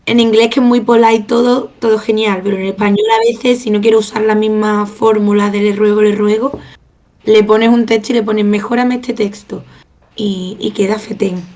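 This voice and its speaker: Flat-voiced woman on a panel. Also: medium pitch, normal speed. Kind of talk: casual panel discussion.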